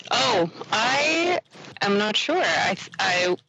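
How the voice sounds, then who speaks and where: A young woman from Quebec, guest on a podcast, talking casually with many restarts and soft laughs. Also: medium pitch, very animated, slow, gravelly, slightly rough, rough recording. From Quebec, Canada. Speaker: ironworker guest.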